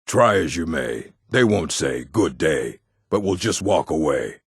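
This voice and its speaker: A rumbling, very low-pitched male orc for a fantasy game. The voice is husky and full of gravel, swinging widely in pitch. He delivers his words in a blunt, weighty way. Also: very animated, measured pace, no echo, studio quality, heavily acted. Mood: jovial, theatrical. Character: gruff adult male orc of a fantasy stronghold. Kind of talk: fantasy video game character dialogue.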